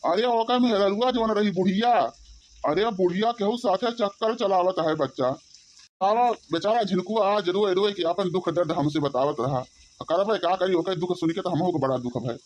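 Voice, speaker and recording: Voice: mid-range voice, a little mellow, lightly acted. An actor voicing a grumbling, suspicious elderly village father-in-law in a comedy cartoon, rambling with a rustic Awadhi accent. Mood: gossipy, anxious. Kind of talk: comic cartoon dialogue in village dialect.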